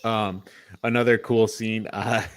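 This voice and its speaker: A man's voice: fairly low pitch, level tone, unhurried. A man hosting a casual film discussion, speaking in an informal, slangy and opinionated way. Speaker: podcast host leading a film review.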